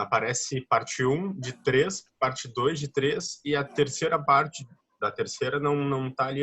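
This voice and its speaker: A young Brazilian man with a husky, mid-pitched voice asks his professor polite questions over a video call. He has a cold, and his answers stay short and courteous. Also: sharp tone. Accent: Brazilian Portuguese. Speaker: student.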